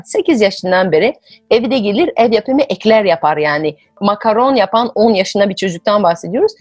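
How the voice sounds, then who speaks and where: A woman hosting an interview, telling personal stories in a conversational, expressive way. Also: mid-range voice, husky, no echo, clean studio sound. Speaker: podcast host. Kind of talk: conversational storytelling.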